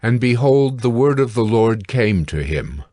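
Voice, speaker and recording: A man narrating scripture from a script in a measured, formal reading manner. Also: medium pitch, very animated, warm tone, dry recording, studio quality.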